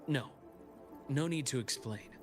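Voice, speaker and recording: A composed, analytical man voiced by an actor in a video game story. He speaks articulately and seriously, with measured phrasing and a mid-range voice. Music plays clearly behind him. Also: medium pitch, natural voice. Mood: guarded, earnest. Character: composed, analytical man investigating a shadowy foe. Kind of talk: scripted video game voice acting.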